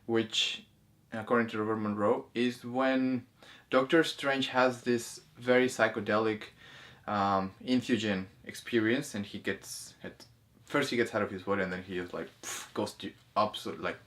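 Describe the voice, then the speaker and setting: A man talking casually as host of a discussion, haltingly, often repeating words and restarting his sentences. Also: medium pitch, fairly bright tone. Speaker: host of a spirituality film discussion. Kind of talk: casual conversational commentary.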